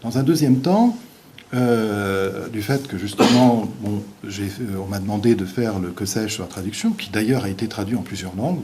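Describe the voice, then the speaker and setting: A male visiting university lecturer, a native Portuguese speaker, giving an academic lecture in a halting way with many restarts and fillers. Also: lowish voice, dark tone, a little hiss or hum.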